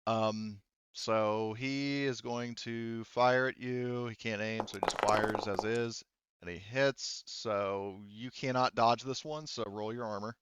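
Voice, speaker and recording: Halting low-voiced man running a tabletop game. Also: fairly even intonation, a bit bright, clean tone, highs cut off. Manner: halting, thinking aloud, profane. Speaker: game master. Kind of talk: running a tabletop roleplaying game, improvised narration.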